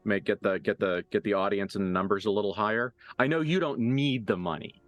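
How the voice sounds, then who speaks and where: A man's voice: on the low side, fairly quick, soft background music. A man acting as game master, improvising narration for a tabletop roleplaying game in a casual, mildly profane way.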